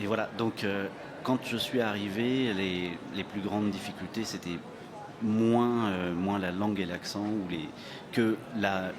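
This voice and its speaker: Parisian guy, lowish voice, lots of euh, busy crowd noise behind. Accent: Parisian French. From France. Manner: hesitant, many fillers and restarts. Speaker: guest author. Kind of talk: casual radio interview. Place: immigration fair hall. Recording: live event with crowd behind.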